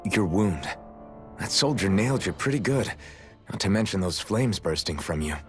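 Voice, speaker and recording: A laid-back young fighter talks in an offhand, easy way. His voice sits a little low. Faint music plays beneath the game scene. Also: expressive, moderate pace. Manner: easygoing, offhand. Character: laid-back masked young man numb to pain. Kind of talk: video game voice acting.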